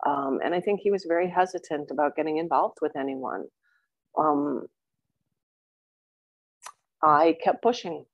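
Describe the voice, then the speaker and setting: Low creaky woman guest, chatty. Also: expressive, a little slow, long pauses, no echo, lo-fi sound. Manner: chatty and open, with many ums and warm asides. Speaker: interview guest, coaching client. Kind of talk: candid conversational interview.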